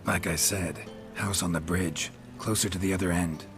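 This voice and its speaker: A very deep-voiced, laconic monster hunter from a fantasy game, acted by a man. His delivery is dry and blunt, with few words and a flat, unbothered manner. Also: normal speed, over music, natural voice. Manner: deadpan, terse. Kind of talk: dramatic video game voice acting.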